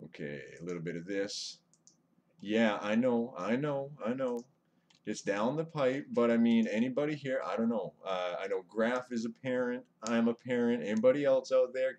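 Male live streamer, deep voice, chatty, greets chat mid-game; webcam mic, faint hiss. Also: a little mellow. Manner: chatty, greets viewers, mutters over gameplay. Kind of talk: casual live-stream chat while gaming. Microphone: webcam microphone, away from mouth.

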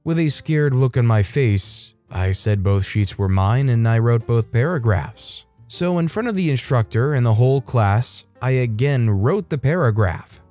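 A man reading out online stories as a narrator, in a steady, matter-of-fact way with light asides of his own. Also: medium pitch, measured pace, few pauses. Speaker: story narrator. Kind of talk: scripted narration of reader stories. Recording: faint background music.

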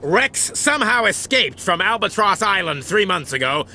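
Irritable police chief, comedy game, high-pitched, lively, pompous, barking orders, noisy background. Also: male voice, moderate pace, full character voice. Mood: pompous briefing. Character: blustering, irritable, self-important male police chief. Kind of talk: animated comedy game acting.

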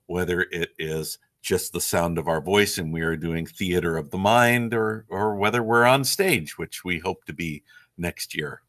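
A man's voice: deep voice, a bit bright. An older man speaking reflectively as a theater teacher on a video call. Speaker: high school theater director. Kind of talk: reflective interview talk. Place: cluttered classroom office.

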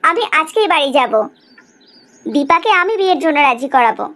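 A woman's voice: high-pitched, lively intonation, brisk, pushed and bright, heavily acted. A woman playing a confident, teasing young newlywed wife in a cartoon drama, with emphatic questioning. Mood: surprised, determined. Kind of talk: animated cartoon drama dialogue.

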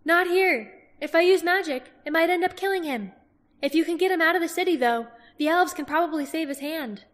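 A woman narrator voicing a quirky, sharp-tongued woman herbalist who sounds playful and knowing. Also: very high voice, brisk, in a large hall, voice pushed up. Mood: urgent.

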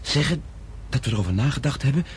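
A man's voice: medium pitch, expressive, fast talker, natural voice. An actor playing a worried, cautious male doctor in a radio play. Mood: grave, cautious. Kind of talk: Dutch radio play acting. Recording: noisy old radio drama recording.

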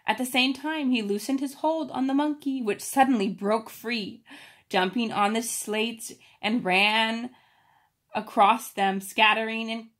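A woman reads a children's story aloud with expressive emphasis, pausing to explain it informally. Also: fairly high pitch. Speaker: storybook reader.

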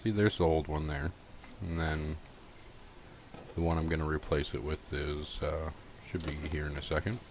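Really low deep guy voice walking thru a repair, laid out step by step, folksy, bit of noise behind him. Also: flat delivery, normal speed, pauses often. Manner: informal, folksy, unscripted. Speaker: host of a repair tutorial. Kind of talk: casual step-by-step how-to narration.

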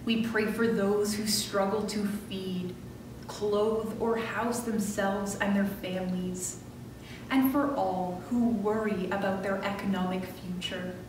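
A middle-aged woman minister leading a scripted prayer in a measured, solemn way, phrase by phrase, from a church pulpit. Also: mid-range voice, steady tone. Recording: roomy distant church recording with clear noise.

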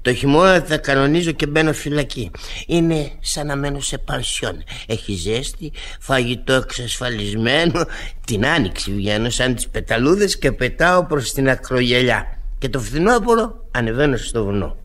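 An actor playing a scruffy, quick-witted tramp who is a painter, wry and comic, in an old radio play. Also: male voice, mid-range voice, expressive, a bit bright, close to an everyday voice. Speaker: vagrant painter. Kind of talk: Greek radio theatre acting.

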